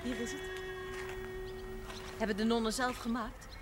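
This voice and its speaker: An actress playing an earnest, direct young former novice in a naturalistic television drama. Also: female voice, a little high, steady tone, unhurried, lightly acted. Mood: earnest, wistful. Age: young adult. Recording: 1970s TV soundtrack under loud music.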